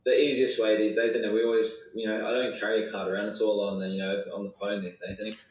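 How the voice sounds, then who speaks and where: A man's voice: lowish voice, little pitch movement, quick pace. An easygoing, reassuring man making a casual sales call on a distant, echoey recording of a phone call. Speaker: gym membership salesperson. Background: played-back call recording.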